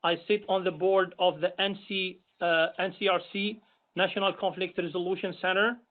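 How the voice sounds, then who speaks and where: A man's voice: high-pitched, flat delivery. Algerian-born man on a tinny line. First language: Arabic. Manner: measured, many "uh" pauses while listing. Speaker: imam and commission member. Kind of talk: self-introduction at a public meeting. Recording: tinny phone-like call audio.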